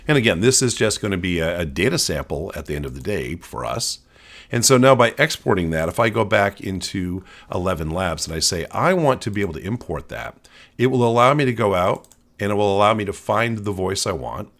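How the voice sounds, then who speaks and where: Male tech presenter, fairly low voice with animated inflection, talking casually through an on-screen demo. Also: brisk. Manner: conversational, explanatory. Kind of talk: casual screen-share walkthrough.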